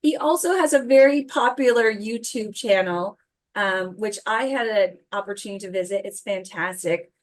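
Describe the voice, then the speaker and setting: An upbeat woman introducing a career webinar, with a promotional, eager manner and the odd restart. Her voice sits at an average pitch and comes over a video call. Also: moderate pace. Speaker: career services host.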